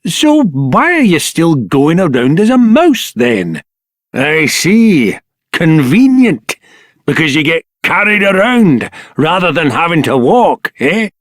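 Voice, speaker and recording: A man's voice: a little high, very animated, slow pace, dry recording, studio quality. A man playing a mild, apologetic, easygoing fellow in a video game. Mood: surprised, relieved.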